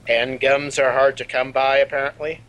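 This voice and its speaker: A man's voice: mid-range voice, flat delivery, moderate pace, fairly bright tone, rough recording. A young man chatting casually and rambling, with lots of fillers, between game scenes. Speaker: player. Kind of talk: casual chat.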